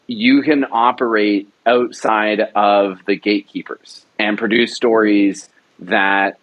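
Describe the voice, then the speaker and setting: A man's voice: on the low side, muffled recording. A man producer talking in an interview, enthusiastic and rambling, with many restarts. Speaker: radio drama producer. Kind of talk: casual interview talk.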